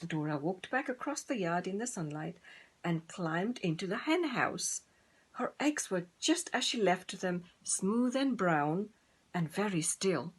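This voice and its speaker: A woman narrating a children's story in a deliberate, gently dramatic manner. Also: lowish voice, expressive, breathy edge. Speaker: storybook reader.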